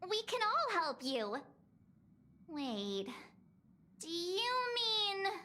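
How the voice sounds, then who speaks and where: A woman voicing a small, excitable, earnest childlike companion in a video game. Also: very high-pitched, very slow, heavily acted. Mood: questioning.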